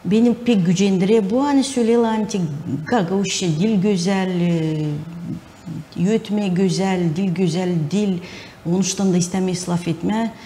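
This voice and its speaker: A middle-aged woman answering interview questions haltingly, searching for words. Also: medium pitch, mellow. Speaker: interview guest, film studio director. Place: TV talk studio. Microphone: lapel. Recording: faint background noise.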